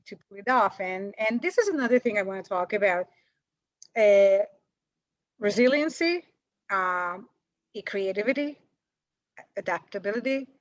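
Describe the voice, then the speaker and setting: A woman's voice: medium pitch, dry recording, dull audio. A woman in her forties with a Hungarian accent, talking as a guest in an interview, chatty and self-deprecating, with lots of fillers and restarts. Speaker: guest, paralegal. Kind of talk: interview over video call.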